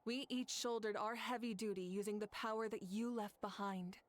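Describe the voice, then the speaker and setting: An actress playing a proud, fiery woman who leads her nation, speaking as earnest game cutscene dialogue with soft music behind. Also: mid-range voice, fairly even intonation, moderate pace, no room sound, natural voice. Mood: solemn. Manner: solemn, earnest storytelling.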